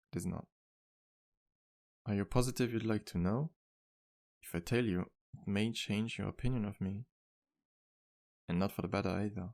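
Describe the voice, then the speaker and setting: A man acts out a charming male vampire bartender for an ASMR roleplay. His voice sits low, with a heavy gravelly creak. He speaks gently and modestly, in courteous, slightly formal phrases meant for a single listener. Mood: wry, guarded. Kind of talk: ASMR roleplay, intimate one-sided dialogue.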